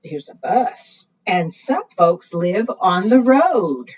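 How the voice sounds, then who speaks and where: A woman's voice: lowish voice, very animated, takes it slowly, a little mellow, breathy edge. A woman reading aloud to young children as a storytime leader, in a sing-song way, often putting questions to her listeners. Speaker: librarian leading preschool storytime. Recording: muffled, distant microphone.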